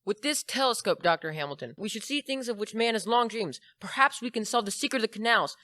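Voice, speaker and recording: A woman's voice: fairly high pitch, animated, quick pace, fairly bright tone, no room sound. A teenage performer, a young woman, playing an eager, polite male lab assistant in an amateur radio play. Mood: earnest.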